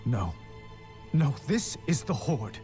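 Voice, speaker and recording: A man's voice: mid-range voice, big pitch swings, slow pace, dark tone. An actor playing an earnest, solemn young human king in a dramatic game cinematic. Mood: grave, earnest. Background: cinematic music.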